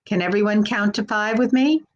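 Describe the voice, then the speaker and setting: A woman's voice: mid-range voice, moderate pace, highs cut off, slight background noise. A woman working as a children's librarian, hosting a live storytime in a simple, encouraging way and speaking directly to young children.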